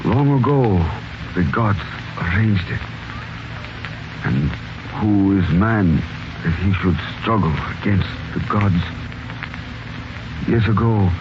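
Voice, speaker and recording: An actor playing a solemn, fatalistic Chinese manservant of fifty in a 1940s radio mystery, speaking in slow, formal phrases. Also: male voice, lowish voice. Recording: muffled, noisy 1940s radio recording.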